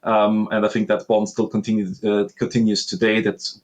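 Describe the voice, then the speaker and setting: A man in his thirties with an Austrian accent speaks as an interview guest in a somewhat low voice. He tells his story in an earnest, reflective way. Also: level tone, normal speed. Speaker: guest, master sommelier. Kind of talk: conversational interview.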